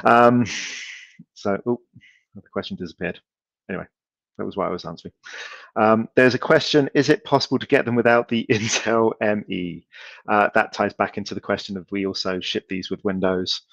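A middle-aged man giving a casual conference Q&A from a home room over a video call, relaxed and hesitant, with many ums and restarts. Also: lowish voice, moderate pace, creaky, no room sound, dull audio. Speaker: company speaker answering audience questions.

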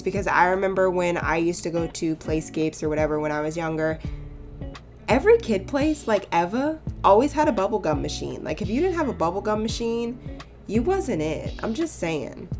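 A young woman chatting casually over her game build, her voice a bit hoarse and cracking, rambling into playful asides. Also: mid-range voice. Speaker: sims builder YouTuber. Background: soft background music.

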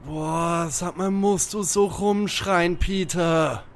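A man's voice: sits high, steady tone, takes it slowly. A man playing a confused, grumbling young detective in a parody audio drama. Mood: groggy, puzzled.

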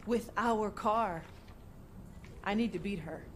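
An actress playing an eager, determined young street racer with an earnest, excitable delivery in a video game. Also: female voice, mid-range voice, takes it slowly, slight background noise, close to an everyday voice. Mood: earnest, urgent. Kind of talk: video game voice acting, natural dialogue.

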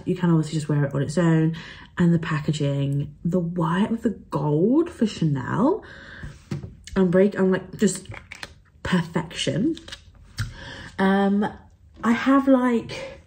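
A woman's voice: fairly low pitch, mellow, slight background noise. A young woman chatting casually to camera as a vlogger, upbeat and full of fillers. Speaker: travel and beauty vlogger. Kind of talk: casual haul chat to camera.

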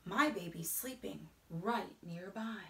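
A middle-aged woman reads a picture book aloud to children in a rhythmic, singsong way, often dropping into hushed whispers. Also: medium pitch, takes it slowly, gravelly. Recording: roomy indoor echo.

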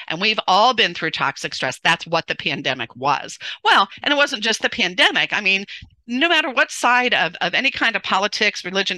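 A middle-aged woman parenting coach talking loudly and animatedly as a guest on a video call, often restarting her sentences. She speaks into a headset from a room at home. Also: mid-range voice, no room sound. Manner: loud, animated, many restarts. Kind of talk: casual interview conversation.

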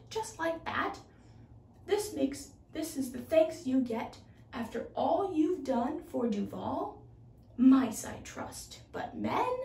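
A woman's voice: high voice, gravelly, full character voice. A teenage young woman voicing an angry, loyal male mouse helper in a storybook. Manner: angry, exclaiming. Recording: roomy, distant microphone.

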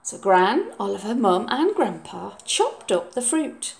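A woman reading a children's story aloud in a measured, expressive way. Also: medium pitch, creaky. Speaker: storybook reader.

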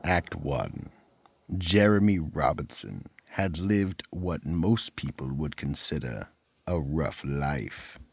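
An extremely deep male narrator with a heavy fry in the voice hosts a radio mystery theatre. He is slow and deliberate, delivering the script with ominous drama. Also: animated. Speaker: narrator and horror anthology host.

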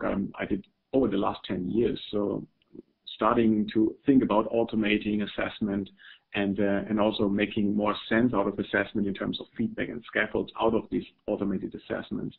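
A man's voice: on the low side, gravelly, slightly rough. A middle-aged man from Germany with a German accent, giving an academic webinar talk, hesitant, with many fillers and repeated words. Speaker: webinar presenter. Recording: phone-like webinar audio.

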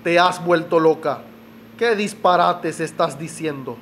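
Guy playing threatening guilty killer in a radio soap, highish voice, noisy background. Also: unhurried, fairly bright tone. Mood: accusing, agitated. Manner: threatening, curt. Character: menacing, guilt-ridden male killer in a soap opera. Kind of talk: radio soap opera acting.

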